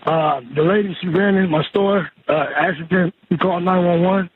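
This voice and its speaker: Muffled, high-voiced actor as a rattled male caller. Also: fairly even intonation, slightly rough, dry recording. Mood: shaken, urgent. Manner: halting, agitated. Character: rattled male convenience store worker calling for help. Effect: phone line. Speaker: emergency caller. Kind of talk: urgent emergency phone call. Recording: muffled lo-fi emergency phone line.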